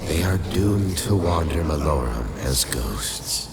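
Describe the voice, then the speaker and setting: A man voicing an ancient, solemn stone statue that guides the dead in a dark fantasy radio play, speaking slowly with a cavernous, gravelly voice. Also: a bass voice, expressive, very noisy, heavily acted. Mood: solemn, ominous. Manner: slow, solemn, measured. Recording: long cavernous reverb under loud music.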